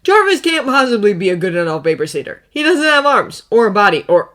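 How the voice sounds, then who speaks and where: A woman's voice: medium pitch, big pitch swings, fairly quick, a bit bright, pitched above the performer's own voice. A woman reading a story voices a sarcastic, defiant male inventor who drinks hard. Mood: sardonic.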